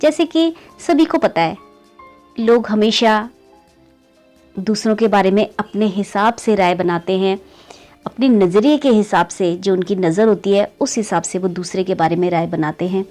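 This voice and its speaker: A woman tells a moral story in an easy, chatty way, speaking straight to her listeners as friends. Her voice sits at a middling height and lifts at each new scene. Soft music plays faintly underneath. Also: unhurried. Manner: keeps calling listeners "friends", pitch rising on story beats. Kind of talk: conversational moral story narration.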